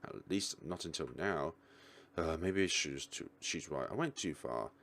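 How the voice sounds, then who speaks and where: A man's voice: a bass voice, lively intonation, unhurried, a bit bright. A man reading story text aloud as a let's play host, with casual reactions and groaning asides.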